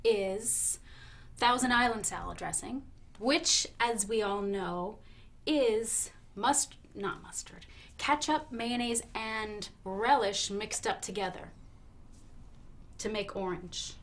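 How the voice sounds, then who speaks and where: A woman hosting a comedy talk show with a deadpan, mock-serious delivery. She stays polite and earnest while saying absurd things, at an ordinary mid pitch. A faint hiss sits under the recording. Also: takes it slowly.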